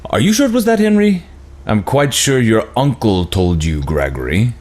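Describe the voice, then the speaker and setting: A man's voice: medium pitch, sing-song, moderate pace, a little mellow. An actor reading a cheery, pious father at a family dinner, with a hearty, folksy delivery. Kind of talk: table read of a screenplay.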